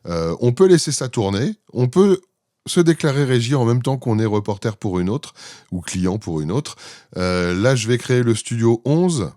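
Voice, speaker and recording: A man giving an informal software demo, explaining things in an improvised, hesitant way. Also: on the low side, no room sound, clean studio sound. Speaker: presenter demonstrating his own software. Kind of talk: informal screencast product demo.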